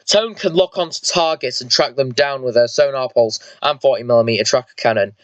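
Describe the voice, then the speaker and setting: A man's voice: medium pitch, a little fast, a bit bright, no echo, highs cut off, natural voice. A man voicing an eager, vengeful squad leader and mech pilot in an amateur sci-fi story, informal and explanatory. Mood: proud, explaining.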